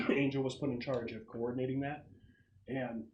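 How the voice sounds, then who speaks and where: A man's voice: medium pitch, steady tone, normal speed, darkish tone, muffled recording. A man acting as game master, explaining the scenario in a conversational way and piecing details together as he goes. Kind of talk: casual tabletop game narration. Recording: noisy room recording with clicks.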